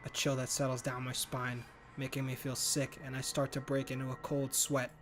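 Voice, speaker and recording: A man's voice: mid-range voice, monotone, normal speed, over music. A man reading a horror visual novel aloud in first person, earnest and stumbling now and then over words. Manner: earnest, muttering inner monologue with slips. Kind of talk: first-person story narration.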